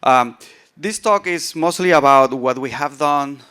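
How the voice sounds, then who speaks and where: Guy with a southern spanish accent doing a tech conference talk, clear smooth voice, kinda halting, repeats words. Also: mid-range voice, sharp tone. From southern Spain. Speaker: conference speaker, software founder. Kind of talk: informal technical conference talk.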